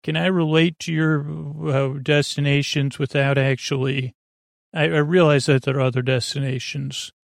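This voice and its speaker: A man hosting a sleep podcast in a rambling, halting, self-deprecating bedtime monologue full of tangents, with a creaky voice close to the mic. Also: steady tone, no echo. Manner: self-deprecating, low-key.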